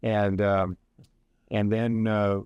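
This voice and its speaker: Older male academic guest, low pitch, chatty and meandering, close dry studio mic. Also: flat delivery, drawn-out words, clear, resonant tone, no room sound, highs cut off. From Hawaii. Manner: rambling, many fillers and restarts. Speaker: professor and guest. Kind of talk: casual radio interview.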